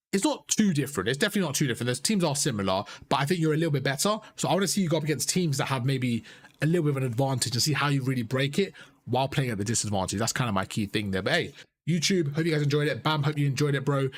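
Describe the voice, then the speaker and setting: A young man coaching players and chatting with his live-stream audience in a casual, repetitive way, his voice strained from talking. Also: a little high. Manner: chatty, repeats phrases, addresses chat as bro.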